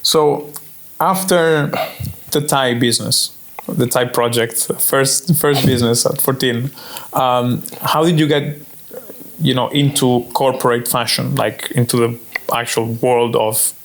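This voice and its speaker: A man hosting a podcast, asking relaxed follow-up questions and summing up his guest's answers. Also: medium pitch, measured pace, poor audio. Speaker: podcast host. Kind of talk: casual interview chat.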